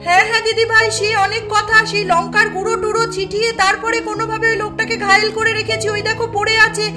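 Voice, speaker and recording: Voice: very high voice, level tone, fast, over music. A woman voicing a bold, scolding young village aunt in a doll story, with an emphatic manner. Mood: proud, brisk. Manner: indignant, emphatic.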